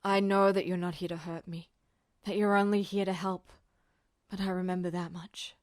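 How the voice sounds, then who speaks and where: Woman reading a guilt-ridden young soldier for a storybook podfic: low, strained, pleading, dry close sound. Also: flat delivery, moderate pace, darkish tone, no room sound, natural voice. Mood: insistent.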